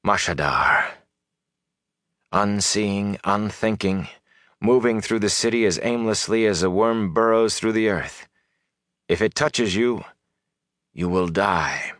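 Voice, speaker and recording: Male narrator as stern female sorceress for fantasy audiobook, grave and measured, low register, dry close sound. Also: fairly bright tone, no room sound. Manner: measured, solemn warnings. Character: stern, commanding sorceress, grave and urgent woman.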